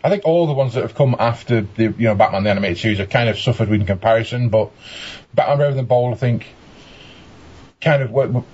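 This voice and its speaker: A man's voice: mid-range voice, quick pace, a little mellow, breathy edge, lo-fi sound. A man on a casual podcast panel who stammers and repeats his words as he talks. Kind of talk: casual discussion.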